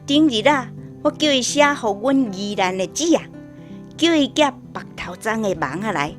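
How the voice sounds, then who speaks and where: Actress as talkative rural woman for radio play, Taiwanese accent, high and very lively, music behind. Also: normal speed, natural voice. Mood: delighted. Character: chatty, excitable village woman. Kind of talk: radio drama acting.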